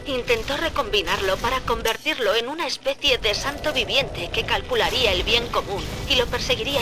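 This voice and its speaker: A woman plays a defiant young captive daughter in a video game. Her voice is fairly high, earnest and intense, and loud music plays behind it. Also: lightly acted. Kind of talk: video game dub acting.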